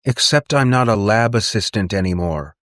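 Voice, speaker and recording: A man's voice: on the low side, expressive, moderate pace, a bit bright, dry recording, studio quality, pitched above the performer's own voice. A man voicing a driven, emotional woman inventor in an audiobook, arguing with passion and defiance. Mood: defiant. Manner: impassioned, argumentative.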